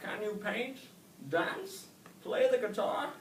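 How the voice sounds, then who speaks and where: A patient, wise old male snail, put on by a man reading a storybook, drawing out each word slowly in a raised, careful voice. The reading sounds distant and roomy, with a faint hiss. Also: high-pitched, voice pushed up. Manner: slow, deliberate, questioning. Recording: echoey room, mic far off, faint hiss.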